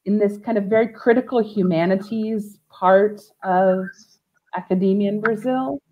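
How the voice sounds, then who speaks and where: A woman interviewing over a video call, talking haltingly with many restarts and small laughs. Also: medium pitch, steady tone, phone-quality sound.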